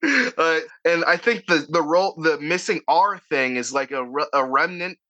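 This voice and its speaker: Boston-accented man, bright and slightly high-pitched, profane, chatty author guest. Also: normal speed, lo-fi sound. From Boston area, United States. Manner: profane, animated, rambling with restarts. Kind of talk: casual podcast conversation.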